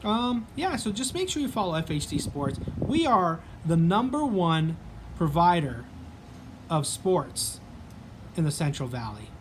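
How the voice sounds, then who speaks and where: A man's voice: a little high, sing-song, unhurried, a little hiss or hum, poor audio. Rambling chatty Canadian man, sports vlogger. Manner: rambling, chatty, many ums and restarts. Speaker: sports vlog host. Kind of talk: casual sports vlog talk.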